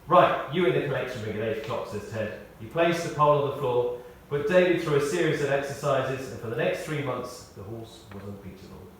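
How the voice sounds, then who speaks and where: A man reading a prepared tribute aloud in short, measured phrases, with wry storytelling. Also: mid-range voice, lively intonation, a bit bright. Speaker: tribute speaker. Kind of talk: read-out memorial tribute with anecdotes. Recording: echoey hall, distant, faint noise.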